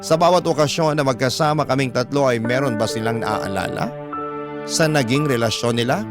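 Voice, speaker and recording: A man's voice: medium pitch, a little fast. Male radio storyteller over prominent music. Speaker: radio storyteller reading a letter sender's story. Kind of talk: dramatic scripted narration, Filipino radio love stories. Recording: prominent music bed behind voice.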